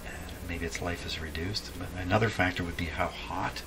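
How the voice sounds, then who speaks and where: Low-voiced man musing aloud, noisy recording. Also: steady tone. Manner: unhurried, musing, with dry humor. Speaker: host of a radio repair video. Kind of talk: casual hands-on demonstration, thinking aloud. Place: indoor home repair workshop.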